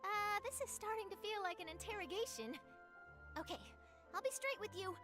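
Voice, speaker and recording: A woman's voice: very high voice, animated, fairly quick. A woman voicing an eager young female journalist, chatty and a little defensive. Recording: game audio with music.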